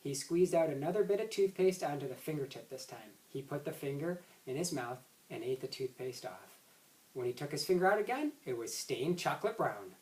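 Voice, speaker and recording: A roomy, slightly distant recording of a man reading a children's storybook aloud. His pitch moves around in a lively way, and he occasionally trips on a phrase and reads it again. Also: a little fast. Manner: stumbles and rereads words. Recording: roomy echo, distant microphone.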